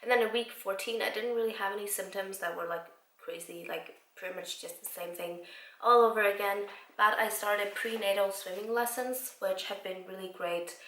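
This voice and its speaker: A woman in her twenties from Iceland, slightly raspy after a cold, chatting casually to camera with lots of fillers. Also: medium pitch, steady tone, moderate pace, fairly bright tone, reverberant, slight background noise, rough recording. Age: 20s. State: recovering from a cold. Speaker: vlogger. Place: home room.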